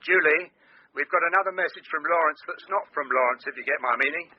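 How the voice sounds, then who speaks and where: A man's voice: a little high, fast, natural voice. An actor playing a bemused older father, hesitant and puzzled, in a naturalistic radio drama. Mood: puzzled relaying. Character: bemused older father of an adult detective daughter. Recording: dull, telephone-like sound with faint noise.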